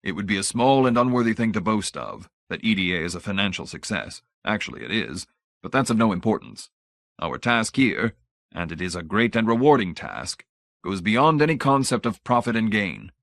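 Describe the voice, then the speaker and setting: A man's voice: on the low side, very animated, normal speed, creaky, a bit bright, no echo, studio quality, heavily acted. A man voicing a fussy, pompous old research director in an audiobook, idealistic and grand in manner but timid. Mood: pompous, earnest.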